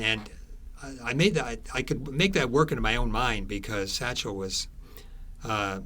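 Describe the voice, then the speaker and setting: Low-voiced man, halting and explanatory. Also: fairly bright tone, slight background noise. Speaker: author being interviewed about his book. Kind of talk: conversational interview answers.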